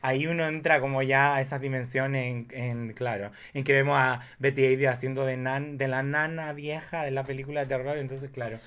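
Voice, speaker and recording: A man chatting casually about films, telling things in an animated way with emphatic asides. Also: medium pitch, fairly quick, few pauses, clear, resonant tone. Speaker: guest film enthusiast. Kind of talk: casual film chat.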